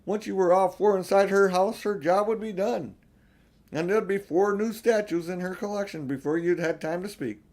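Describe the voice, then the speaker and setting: An urgent talking beaver from a storybook, acted out by a man, pitched rather high. He sounds grave and worldly-wise, and presses each warning with insistent emphasis. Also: normal speed, darkish tone. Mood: alarmed warning. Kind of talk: storybook character voice in an audiobook.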